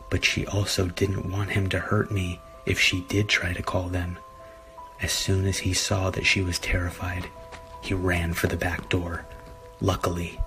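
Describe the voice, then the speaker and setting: A man narrating a scripted horror story in a measured, deliberate way. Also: sits low. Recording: music behind the voice. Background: background music.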